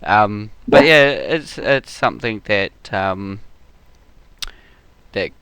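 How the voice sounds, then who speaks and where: A man's voice: fairly low pitch, animated, slow pace, crowd noise. A man with an Australian accent hosting a casual interview, laid-back and full of fillers. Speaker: host.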